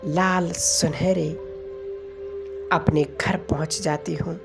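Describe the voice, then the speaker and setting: A woman leading a devotional guided meditation in a soothing, measured manner, pausing between short phrases. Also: low voice, steady tone, vocal fry. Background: music.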